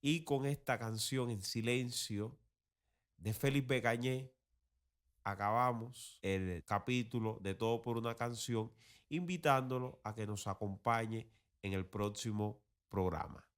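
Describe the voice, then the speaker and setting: A man hosting a podcast, speaking in a measured, reflective and formal way. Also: medium pitch. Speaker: podcast host, director and producer. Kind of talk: podcast sign-off commentary.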